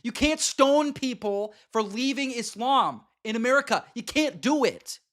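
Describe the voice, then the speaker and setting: A man hosting a live stream, reacting to a clip with blunt, emphatic commentary and quick asides to his viewers. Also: very high voice, expressive, fairly bright tone.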